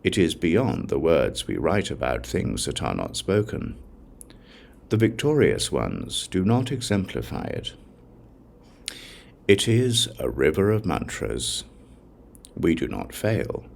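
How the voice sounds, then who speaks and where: A man's voice: extremely low pitch, creaky, a little mellow. A man giving a solemn, declarative scripted reading of sacred texts as an audiobook narrator.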